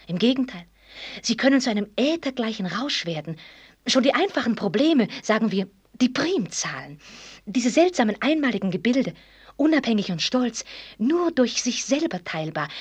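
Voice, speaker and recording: An actress playing a proud, cerebral young woman in a German radio drama, articulate and formal, teasing and fervent by turns, with a breathy, husky voice. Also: mid-range voice. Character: proud, cerebral young woman who rapturously loves mathematics.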